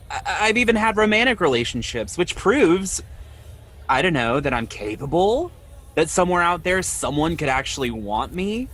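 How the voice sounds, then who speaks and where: An actor playing a lonely, ashamed man in his twenties, speaking in a halting, confessional way in an audio drama. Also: high voice, fairly bright tone, exaggerated voice. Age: 20s. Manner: halting, confessional, repeats himself. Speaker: podcast host acting in own audio drama.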